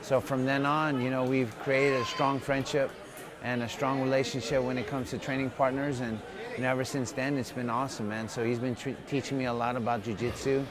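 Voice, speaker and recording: A man's voice: mid-range voice, little pitch movement, a little fast, few pauses, crowd noise. A man whose first language is Tagalog, a fighter answering interview questions in a loose, upbeat way, full of "you know" and "man.". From Philippines. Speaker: mixed martial arts fighter, interviewee. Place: gym.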